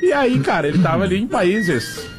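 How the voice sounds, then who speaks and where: A high, lively man's voice, telling a rambling joke story in slow, drawn-out phrases. He laughs at his own tale while music plays under the studio banter. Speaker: radio show co-host.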